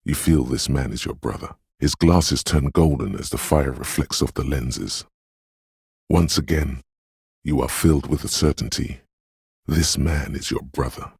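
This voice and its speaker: An eerie, brooding voice of intuition from a video game, extremely deep and very husky. It delivers cryptic, ominous narration slowly and intimately, with dramatic pauses, in a close, dry sound. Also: male voice, dry recording, full character voice. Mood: ominous, mystical. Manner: slow, hushed, ominous, cryptic second-person pronouncements with dramatic pauses.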